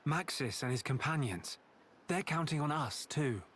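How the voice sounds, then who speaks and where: Young inventor in a game, understated, lilting voice, slight noise behind. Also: male voice, on the high side, expressive, normal speed, fairly bright tone. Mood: thoughtful. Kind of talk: video game cutscene acting.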